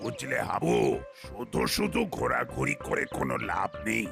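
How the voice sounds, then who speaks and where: A man voicing a fatherly middle-aged police chief in a cartoon, gravelly, authoritative and encouraging. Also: low voice, big pitch swings, normal speed, exaggerated voice. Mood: advising. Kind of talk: cartoon voice acting. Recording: music behind the voice. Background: cartoon background music.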